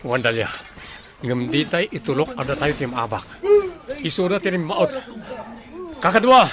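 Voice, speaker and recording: An actor in an Ilocano radio drama playing a brave young warrior, a chief's devoted son, earnest and determined. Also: male voice, on the high side, lively intonation, normal speed, a bit bright. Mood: rallying.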